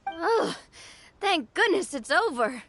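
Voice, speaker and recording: Eager, kind young woman for an anime game, high and very animated, music underneath. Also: takes it slowly, no echo, heavily acted. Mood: relieved, cheerful. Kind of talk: anime video game voice acting.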